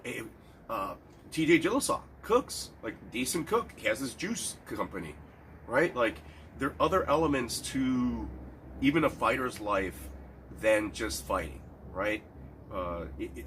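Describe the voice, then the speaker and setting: A man's voice: medium pitch, fairly bright tone, slight background noise. A man with a stuffed-up nose, rambling casually in a solo talk about fights and bets, with many restarts and fillers. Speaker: cigar and MMA betting reviewer.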